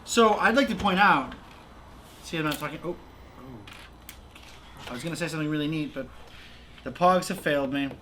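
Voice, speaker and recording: A high-pitched man hosts a relaxed crafting stream. His voice is bright and forceful, and he talks in a playful, informal way over some background noise. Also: fairly quick, long pauses. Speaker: livestream host. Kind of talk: casual chat while doing crafts.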